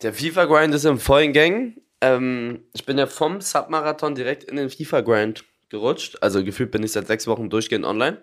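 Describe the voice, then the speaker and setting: A man's voice: medium pitch, fairly quick, hardly any pauses, a bit bright. A man co-hosting a podcast, talking eagerly about a video game with many restarts. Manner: enthusiastic gamer talk, fillers and restarts. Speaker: podcast co-host. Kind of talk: casual podcast chat.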